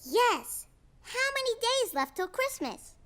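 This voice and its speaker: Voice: very high-pitched, very animated, takes it slowly, pushed and bright, heavily acted. An actor voicing an eager, curious, polite little preschool boy in a children's cartoon. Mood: curious, earnest. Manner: polite, inquisitive.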